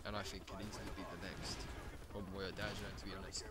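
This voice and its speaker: A man's voice: level tone, fast, heavy background noise. A man chatting casually about gaming commentary, rambling and correcting himself as he goes. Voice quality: says he has a high voice.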